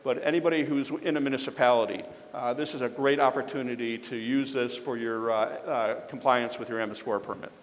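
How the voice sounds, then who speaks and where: A man presenting at a public forum and introducing the next speaker, talking haltingly with many fillers and restarts. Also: mid-range voice, fairly even intonation, big-room sound.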